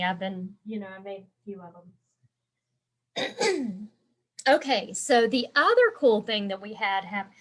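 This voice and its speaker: A woman with a congested voice, hosting a casual live stream as an embroidery instructor and chatting with viewers by name. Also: on the high side. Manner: chatty and rambling, answering viewer comments by name.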